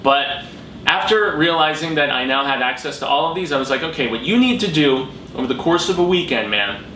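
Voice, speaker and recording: An American man talking casually as a vlog host, excitable, emphatic and rambling, with plenty of slang. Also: fairly quick. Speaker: host of a horror fiction review channel. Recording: roomy echo, background noise and rumble.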